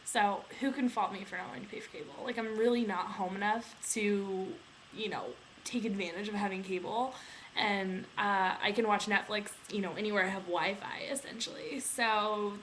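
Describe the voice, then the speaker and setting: Young woman, American accent, bright voice, medium pitch, casual vlog chat, rambling informal delivery, some background noise. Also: steady tone, normal speed, low-quality recording. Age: young adult. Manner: informal, rambling, peppered with "like" and "you know". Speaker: vlogger, aviation student.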